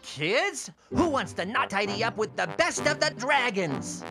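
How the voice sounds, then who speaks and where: A woman's voice: a little high, very animated, unhurried. A woman voicing a small, playful, mischievous boy dragon for a children's cartoon, with an exuberant, sing-song delivery. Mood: excited, mischievous. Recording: loud music behind. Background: loud cartoon music.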